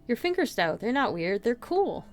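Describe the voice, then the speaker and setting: A woman voicing a shy, grateful wounded outcast in a visual novel, speaking softly and hesitantly. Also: a little high, very animated, normal speed. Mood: kind. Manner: soft, hesitant.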